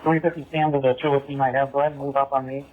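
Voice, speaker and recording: Guy cop on a tinny radio with some hiss, kinda high voice, giving orders all business. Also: flat delivery, racing. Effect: police radio. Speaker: police field supervisor. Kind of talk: police radio traffic. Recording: tinny, noisy two-way radio.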